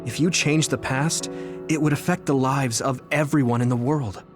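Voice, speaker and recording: A man's voice: medium pitch, steady tone, normal speed, music in the background, lightly acted. An actor playing an earnest, thoughtful young male soldier hero in a video game, speaking sincerely and reflectively. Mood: reflective, resolute. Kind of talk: English video game voice acting, dramatic dialogue.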